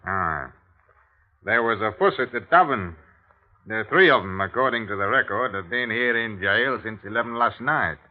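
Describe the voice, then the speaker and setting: A man's voice: fairly low pitch, expressive, normal speed, natural voice. A man acting a skeptical, matter-of-fact Victorian police officer in an old radio drama. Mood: dry, skeptical. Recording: tinny vintage radio recording.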